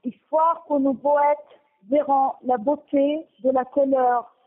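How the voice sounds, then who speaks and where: Slow Palestinian mother on a tinny phone line. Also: high-pitched, steady tone. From Gaza, Palestine. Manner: halting, with frequent restarts and pauses. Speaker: mother giving testimony. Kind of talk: phone testimony, partly reading her own text. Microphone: telephone.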